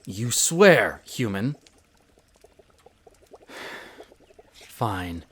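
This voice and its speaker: A man's voice: on the low side, sing-song, a bit bright, breathy edge, heavily acted. A man playing a proud, prickly winter elf archaeologist who talks formally and haughtily in a scripted audio roleplay addressed to the listener. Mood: reluctant, bitter. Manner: haughty, formal, exasperated, theatrical pauses.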